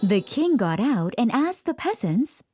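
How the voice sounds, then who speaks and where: A woman narrating a children's bedtime story in a gentle, expressive way. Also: fairly high pitch, a little slow. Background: children's music.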